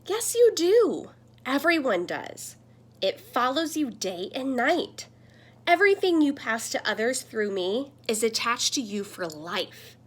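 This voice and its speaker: A woman voicing a friendly, earnest talking computer screen that gently scolds, in a children's picture book. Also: on the high side, husky, full character voice. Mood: earnest, insistent. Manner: earnest, explanatory.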